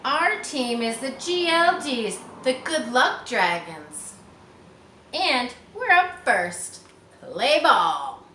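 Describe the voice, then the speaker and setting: A woman voicing a bossy, eager schoolgirl making loud playground announcements in a children's storybook. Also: a little high, very animated, extremely slow, voice pushed up, exaggerated voice. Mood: loud announcing. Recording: roomy echo, distant mic, faint noise.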